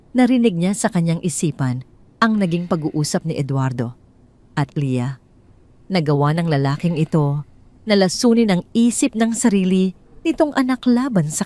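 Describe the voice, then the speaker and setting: A woman's voice: on the low side, dark tone. A woman with a Filipino accent narrating a scripted dramatic audio story.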